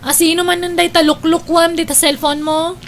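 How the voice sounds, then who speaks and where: A woman's voice: very high voice, flat delivery, moderate pace. A woman playing a nagging, worried older sister in an audio drama, with an Ilocano accent. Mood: suspicious, chiding. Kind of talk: Ilocano radio-style audio drama acting.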